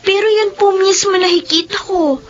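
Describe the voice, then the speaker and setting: A woman's voice: extremely high pitch, steady tone, moderate pace. A woman playing a persistent, concerned young daughter in a radio drama, with a Filipino accent. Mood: curious. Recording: muffled audio with faint noise.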